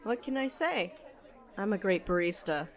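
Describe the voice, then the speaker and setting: A woman's voice: mid-range voice, lively intonation, normal speed, close to an everyday voice. A woman playing a playful, sarcastic young barista in an audio drama, with cafe chatter behind her. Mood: teasing.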